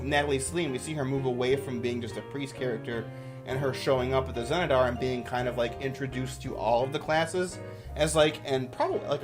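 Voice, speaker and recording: A man giving casual, off-the-cuff commentary as a YouTuber, with an enthusiastic, rambling manner. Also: mid-range voice.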